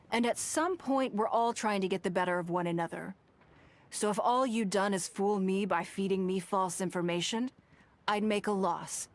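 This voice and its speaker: A woman playing a powerful, shrewd businesswoman in a video game, speaking in a measured, formal, reproachful way. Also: mid-range voice, close to an everyday voice. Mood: stern reproach. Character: poised, powerful businesswoman, sternly moral and shrewd.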